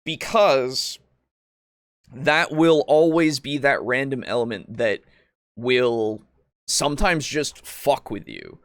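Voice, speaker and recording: A man speaks in a casual podcast discussion, at a middling pitch with very little room sound. He rambles and halts as he explains things, swearing freely. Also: expressive, slow pace, a bit bright, dry recording, studio quality. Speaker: podcast co-host. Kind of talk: casual discussion of tabletop roleplaying.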